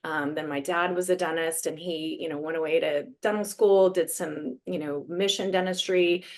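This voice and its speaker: A woman's voice: sits low, fairly even intonation, normal speed, darkish tone. A woman in her fifties from Eastern Washington, telling her story as a guest in a casual, chatty way with lots of fillers and laughs. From Eastern Washington, USA. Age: 50s. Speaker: guest, dentist.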